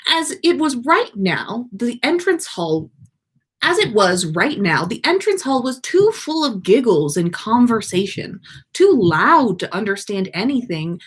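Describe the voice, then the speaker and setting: A woman hosting a livestream in a chatty, playful, theatrical way as she reads stories aloud. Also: medium pitch. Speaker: podcast host reading fan fiction aloud. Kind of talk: chatty livestream and animated story reading.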